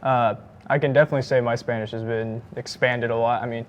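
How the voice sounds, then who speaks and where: A teenage boy, a high school student being interviewed, who hedges a lot with "I mean" and "you know.". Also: fairly even intonation, dull audio, a little hiss or hum. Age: teens. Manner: rapid, hedging, lots of "I mean" and "you know".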